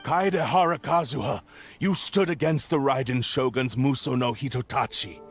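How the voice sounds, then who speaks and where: A man voicing a gravelly, arrogant cursed blade. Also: mid-range voice, moderate pace, soft background music, close to an everyday voice. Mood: menacing. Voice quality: gravelly, menacing. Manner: haughty, declaiming threats, swells into shouts. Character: arrogant cursed blade possessing a man's body. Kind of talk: game voice acting. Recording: game soundtrack under voice.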